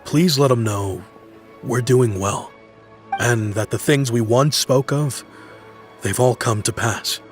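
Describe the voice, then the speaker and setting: A successful businessman, voiced by a man, talks calmly and fondly in an even, mid-pitched voice. Soft game music plays faintly behind him. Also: slow, lightly acted. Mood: friendly. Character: grown-up male businessman, nostalgic and friendly. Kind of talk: video game voice acting.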